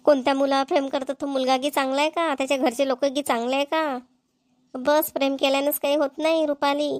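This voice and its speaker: A woman's voice: high-pitched, level tone, brisk, no echo, clean studio sound, close to an everyday voice. A woman voicing a nagging, questioning village mother in a cartoon, with a rural Vidarbha Marathi accent. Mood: questioning, stern. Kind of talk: amateur cartoon comedy-drama dubbing.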